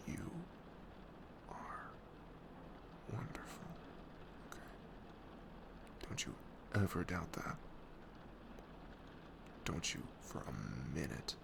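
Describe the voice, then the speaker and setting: A young man playing a caring, reassuring best friend in an intimate ASMR roleplay, soft-spoken and earnest, with audible noise in the recording. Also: very deep voice, heavily acted. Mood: tender, soothing. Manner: soft-spoken, often breathy, hesitant, earnest. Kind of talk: ASMR roleplay acting, intimate casual talk.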